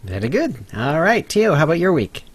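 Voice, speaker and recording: A man's voice: a little high, very animated, fairly quick, breathy edge, no echo. A man hosting a podcast who opens the show and guides it in an upbeat way. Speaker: podcast host.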